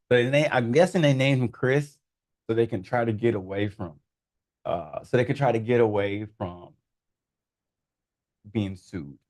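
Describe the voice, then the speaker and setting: A man in a casual conversation, demonstrating software in an animated, amused way and laughing often. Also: on the low side. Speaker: guest, tech founder. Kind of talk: casual demo talk.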